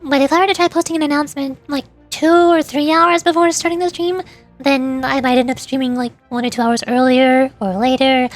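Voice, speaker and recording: A woman's voice: high voice, flat delivery, a little fast, faint music behind. A woman livestreamer chatting casually with viewers while gaming, in a playful, rambling way. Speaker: livestreamer (VTuber) playing and voicing a game.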